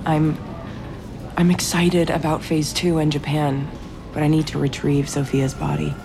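A woman playing a guilt-ridden diver grieving an old friend, halting and confessional, in a naturalistic audio drama. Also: low-pitched, flat delivery, gravelly, noisy background. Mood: grieving, strained.

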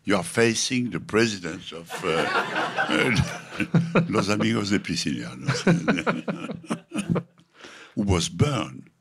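Deep gravelly man, halting speech. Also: big pitch swings, very noisy. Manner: halting, hesitant, laughs often. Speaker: panel guest.

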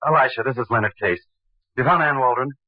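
An actor playing an indignant, well-to-do gentleman in old-time radio drama, speaking with clipped urgency. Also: mid-range voice, fast, no room sound, natural voice. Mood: alarmed. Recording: dull, muffled old radio recording.

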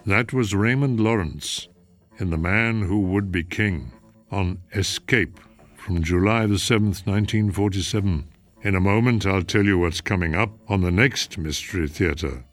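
A man hosting a mystery radio show, presenting from a script in a measured, deliberate manner. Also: sits low, soft background music.

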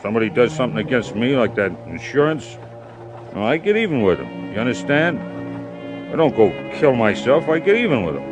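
An actor playing a simple-minded young man who poisoned someone and brags about his cunning, in halting, childlike speech. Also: medium pitch, very animated, over music, lightly acted. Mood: boastful. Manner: slow, halting, childlike boasting. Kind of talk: 1950s radio drama acting. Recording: old radio broadcast recording.

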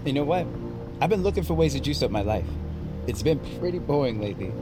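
An actor playing a chatty, upbeat man hungry for excitement in an audio drama, with a casual, playful manner. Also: medium pitch, fast talker, music in the background, lightly acted. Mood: upbeat, teasing. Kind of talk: naturalistic audio drama acting.

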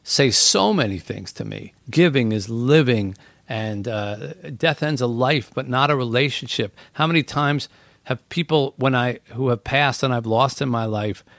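A man's voice: mid-range voice, moderate pace, creaky, a bit bright, no echo. Earnest, reflective middle-aged man. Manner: earnest, thoughtful, frequent "you know" fillers. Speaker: podcast guest. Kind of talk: reflective casual conversation.

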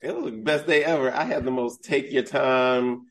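A man's voice: mid-range voice, moderate pace. A man co-hosting a podcast in laid-back, playful casual chat. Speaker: podcast co-host.

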